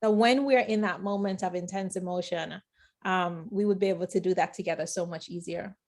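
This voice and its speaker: A woman hosting an interview, thoughtful and hesitant, often pausing with fillers as she shapes her ideas. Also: fairly low pitch, fairly even intonation, darkish tone. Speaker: host. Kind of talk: interview conversation.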